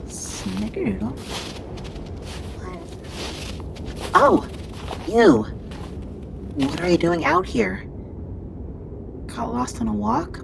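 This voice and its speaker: A woman playing a friendly, skittish wendigo in an ASMR roleplay, protective and halting in the way this forest monster talks. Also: mid-range voice, big pitch swings, exaggerated voice. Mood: anxious, urgent. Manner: hesitant, halting, hushing. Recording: clearly noisy background.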